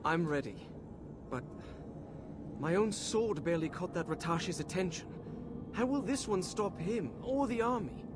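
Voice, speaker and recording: An actor playing a brave, earnest young warrior prince in a dramatic video game. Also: male voice, high-pitched, expressive, a little slow, noisy background. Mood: urgent. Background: cinematic music.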